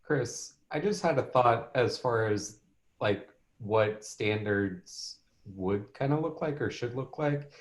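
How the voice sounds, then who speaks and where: A man's voice: medium pitch, animated, slow. A man on a video call discussion, tentatively thinking aloud about standards in his field.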